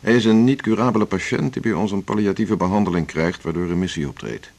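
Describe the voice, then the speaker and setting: An actor playing a middle-aged senior doctor in a radio drama, a husky-voiced boss who talks in a brisk, familiar, patronising way. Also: male voice, deep voice, darkish tone. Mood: businesslike. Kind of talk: naturalistic Dutch radio drama dialogue.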